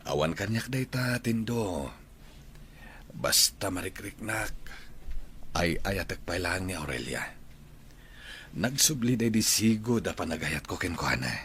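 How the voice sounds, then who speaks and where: Radio drama: older Filipino sweetheart, very deep, earnest, tender and grateful, slight background noise. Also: male voice, lively intonation, unhurried, pauses often, fairly bright tone. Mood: lovelorn. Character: devoted older male suitor, tender and grateful. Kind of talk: sentimental radio drama acting.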